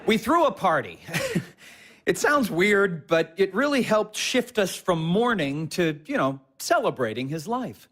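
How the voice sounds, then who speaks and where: An actor playing Andrew, an earnest aspiring writer and husband, talking defensively in an audio drama. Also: male voice, big pitch swings, unhurried, fairly bright tone, full character voice. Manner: earnest, defensive, pitch swinging widely.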